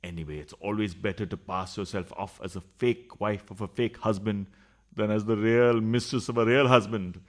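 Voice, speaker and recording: An actor playing a scheming, flustered husband in a radio farce, hesitant and stammering as he smooth-talks and evades. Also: on the low side, steady tone, normal speed, natural voice. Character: scheming, flustered husband hiding his mistress, placating and evasive.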